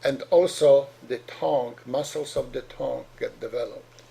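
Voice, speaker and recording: A man's voice: mid-range voice, steady tone, normal speed, creaky, mellow, slightly rough, poor audio. A middle-aged man from Poland with a heavy Polish accent, a doctor giving an earnest explanatory talk to parents, often repeating and restarting words.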